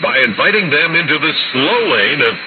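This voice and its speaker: Animated man on crackly shortwave radio. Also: a little high, normal speed. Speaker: amateur radio news correspondent. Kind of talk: scripted light feature news report. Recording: noisy narrow-band shortwave radio reception. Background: shortwave static.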